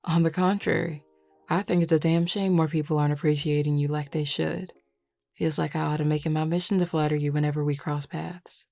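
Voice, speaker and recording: Woman voicing a low, drawling Southern werewolf flirt. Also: fairly even intonation, gravelly, dark tone, dry recording. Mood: sincere, flirty. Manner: drawling, playful, unhurried teasing. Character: flirty, teasing Southern male werewolf bar patron. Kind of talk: scripted audio roleplay.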